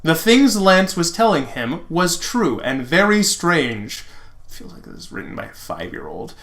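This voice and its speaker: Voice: mid-range voice, big pitch swings, moderate pace, a bit bright. A sarcastic, exasperated reader narrating fan fiction dramatically and breaking off for mocking asides.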